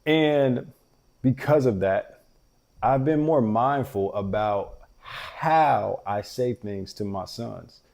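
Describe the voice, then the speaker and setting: Deep-voiced man, earnest and gravelly. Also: slow, a little mellow. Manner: earnest, reflective, talks directly to fathers. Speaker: podcast host. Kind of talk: casual heartfelt monologue.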